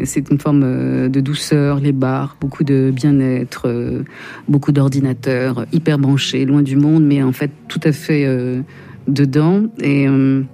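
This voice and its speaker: A woman hosting a radio interview, asking long questions and often pausing to search for words. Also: sits low, mellow. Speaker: radio host.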